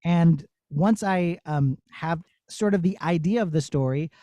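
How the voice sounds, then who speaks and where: Male puppeteer, soft mellow tone, dry recording, encouraging and explanatory with children. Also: fairly high pitch, a little slow, no echo. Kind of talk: answering children's questions.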